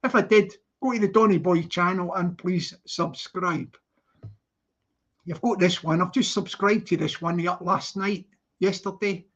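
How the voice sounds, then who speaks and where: A man's voice: sits high, fairly bright tone. A Scottish man hosting a casual live stream, chatting away to his viewers and greeting each one in a repetitive sing-song. His talk is loose and rambling, broken by easy laughter. The stream audio sounds slightly dull and lo-fi. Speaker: livestream host. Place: living in London.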